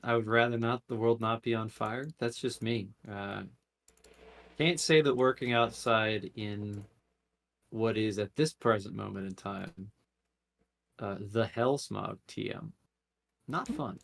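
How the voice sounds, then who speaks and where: Sarcastic podcast co-host, gravelly voice, deadpan, swears a bit. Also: male voice, lowish voice, unhurried. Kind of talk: casual chat.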